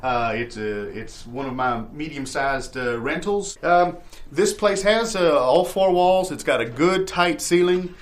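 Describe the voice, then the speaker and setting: Man playing a halting, folksy landlord salesman. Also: a little high, expressive. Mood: salesmanlike. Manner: halting, hedging salesman, trailing off mid-sentence. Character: rambling, folksy male landlord evasively pitching a shabby rental cottage. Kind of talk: improvised comedy audio theatre.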